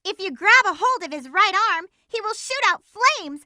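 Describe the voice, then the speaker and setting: Plucky girly cartoon hedgehog for a video game, super high and piercingly bright, bossy and peppy. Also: animated, normal speed, no echo, studio quality, full character voice. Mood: perky, instructive. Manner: peppy, emphatic, girlish. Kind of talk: animated game voice lines.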